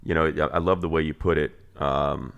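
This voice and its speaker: A man's voice: a bass voice, fairly even intonation, a little fast, vocal fry, clean tone, lo-fi sound. A man chatting casually and emphatically as a football show panelist, breaking down players and game film.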